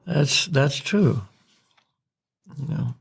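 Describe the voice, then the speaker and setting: An American man from North Carolina, a podcast host calmly explaining spiritual ideas in a reflective, unhurried way. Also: medium pitch, vocal fry, slightly rough. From North Carolina, USA. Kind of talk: spiritual discussion.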